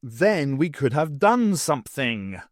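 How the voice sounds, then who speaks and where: A man's voice: medium pitch, sing-song, slow, dry recording, clean studio sound. A man voicing an exasperated, scornful male professor in an audiobook, brusque and sneering.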